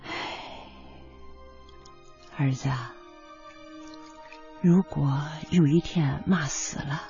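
A woman's voice: low voice, fairly even intonation, drawn-out words, creaky, mellow, heavily acted. A woman acting a careworn, devoted middle-aged mother in a radio drama, speaking in an earnest, plaintive, homely way. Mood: tender, grave. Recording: dull radio drama recording, music bed.

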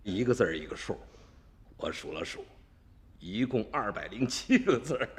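A man's voice: fairly low pitch, very animated, normal speed. An actor playing a greedy gang boss who gloats and savors his loot. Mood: gloating. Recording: reverberant radio drama with music.

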